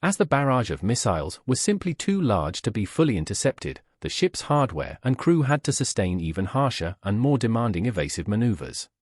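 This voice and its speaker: A man narrates a scripted audiobook story. His voice is husky and moves up and down in a lively way. The sound is dry and close, with no room echo. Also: on the low side, fairly quick, few pauses.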